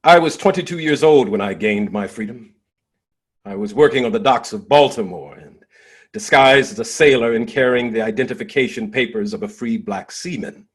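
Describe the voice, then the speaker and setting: A man performing a dramatic monologue as a dignified, impassioned nineteenth-century abolitionist orator, declaiming in swelling and hushed phrases with deliberate pauses. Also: mid-range voice, slightly rough, natural voice. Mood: resolute.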